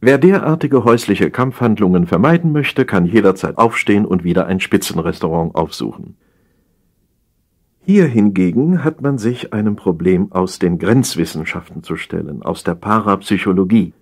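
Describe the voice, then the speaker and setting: A man narrating a humorous satire as an audiobook reader, in an expressive, measured storytelling manner. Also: lowish voice, breathy edge.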